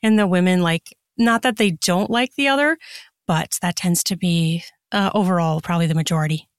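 A woman's voice: on the low side, moderate pace, clear, resonant tone, no echo, clean studio sound. A woman chatting casually as a podcast guest, explaining things in a rambling, conversational way. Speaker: podcast guest, erotic fiction author and podcaster. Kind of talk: casual interview chat.

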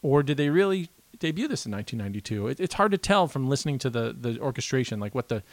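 A man's voice: mid-range voice, sing-song, fast, no echo. Laughing chatty man, dry close sound. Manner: laughs often, rambling with fillers. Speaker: podcast co-host. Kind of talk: casual chat.

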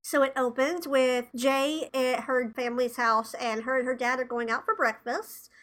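A woman's voice: high-pitched, steady tone, moderate pace, fairly bright tone. A woman in her twenties, a podcast co-host, talking in casual chat, sounding nasal and congested from a cold. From Tennessee, USA. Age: 20s.

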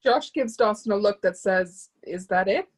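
Mid-pitched woman reading stage directions. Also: expressive, moderate pace, husky. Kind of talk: scripted narration.

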